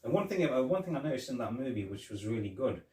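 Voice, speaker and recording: A man's voice: mid-range voice, sing-song, quick pace, a little mellow, recorded from a distance. A man co-hosting a casual movie review podcast. He rambles, restarting and repeating his words. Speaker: podcast co-host. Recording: echoey room, off-mic.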